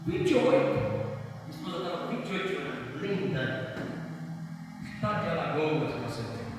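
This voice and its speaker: Fervent high-voiced preacher in an echoey church. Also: male voice, very animated, normal speed, noisy background. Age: 40s. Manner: fervent preaching that swings into half-sung, shouted lines. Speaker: pastor. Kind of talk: Pentecostal sermon. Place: church hall. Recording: echoey church hall heard from far off. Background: music playing.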